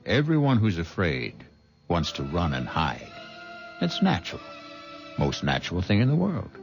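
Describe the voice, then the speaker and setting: A man hosting and narrating a radio mystery drama in a measured, ominous way. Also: low voice, wildly swooping pitch, vocal fry. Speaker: host and narrator. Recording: dull old radio recording with music.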